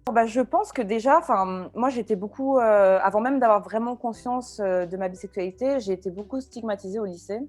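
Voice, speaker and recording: A woman's voice: medium pitch, brisk, hardly any pauses, darkish tone. Young woman in a hesitant chat. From France. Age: 20s. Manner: hesitant, many fillers and restarts. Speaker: guest. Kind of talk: casual interview talk.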